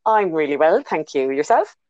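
A woman with an Irish accent, speaking low and reflectively, pausing with ums and restarting her sentences as she thinks aloud. Her voice comes through a dry, close call line that sounds a little dull and muffled. She sounds like a guest on a video call from a quiet bedroom. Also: big pitch swings, dry recording. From Ireland. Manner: thoughtful, many fillers and restarts. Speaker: guest, business consultant. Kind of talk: casual interview over a call. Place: bedroom at home.

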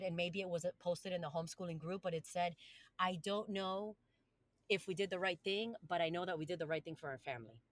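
Podcast guest: woman from Colombia, Spanish first language, lowish voice, dry close sound, earnest and emphatic. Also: no room sound. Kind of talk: podcast interview.

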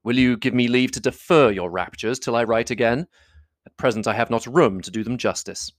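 A reader voicing a proud, reserved gentleman in a classic novel audiobook, with measured, formal speech. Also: mid-range voice, dry recording, clean studio sound, close to an everyday voice. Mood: cool reserve.

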